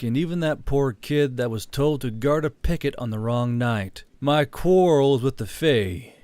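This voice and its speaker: Give me a man playing a battlefield commander in a fantasy audiobook, stern yet merciful, in a close, dry recording with a medium-pitched voice. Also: a little mellow, no room sound, natural voice. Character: commanding, stern but merciful male war leader. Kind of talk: audiobook character acting.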